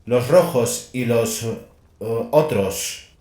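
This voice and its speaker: A man voicing an eccentric, detached machine-augmented adept in an audio drama, halting and clinical in his talk. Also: mid-range voice, dark tone, roomy sound, slight background noise. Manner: halting, clinical, mechanically repeats procedural words.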